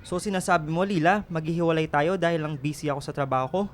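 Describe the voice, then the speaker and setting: An actor playing a busy, defensive boyfriend in a Tagalog radio drama. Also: male voice, on the high side, quick pace, close to an everyday voice. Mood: irritated, curt. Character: busy, defensive boyfriend absorbed in the family business.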